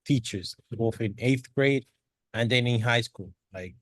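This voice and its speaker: A man from the Dominican Republic sharing his experience in a discussion, halting, with many restarts. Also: mid-range voice, a bit bright, dry recording. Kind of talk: discussion contribution.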